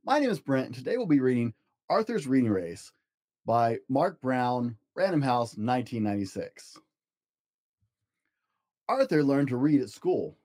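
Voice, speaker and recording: A man with a soft, mellow voice reads a children's story as a podcast host. His pitch moves in lively swings, and he speaks encouragingly to young listeners. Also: normal speed, pauses often. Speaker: podcast host reading children's books aloud. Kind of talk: scripted storybook narration.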